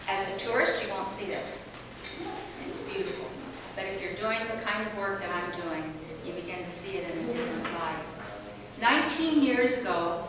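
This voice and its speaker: A middle-aged woman giving a rambling, chatty guest talk, projecting her voice loudly to a club meeting. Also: mid-range voice, noisy background. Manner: loud, projecting voice; chatty, rambling, self-deprecating. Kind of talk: informal speech to a club meeting. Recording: echoey hall from far off, audience.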